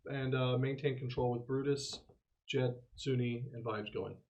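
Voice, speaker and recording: A man's voice: mid-range voice, fairly even intonation, a little slow, a little mellow. A man talking casually in character as a player in a tabletop role-playing game.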